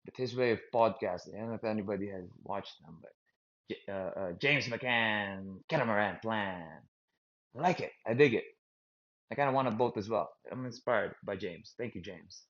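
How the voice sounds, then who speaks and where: A Filipino-accented man talks on in a low-pitched, meandering monologue, stumbling over and repeating his words as he goes. The sound is dull and lo-fi. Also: a bit bright. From Philippines. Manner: halting, repeats words, self-deprecating. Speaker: host. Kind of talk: rambling stream-of-consciousness monologue. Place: outdoors in a park.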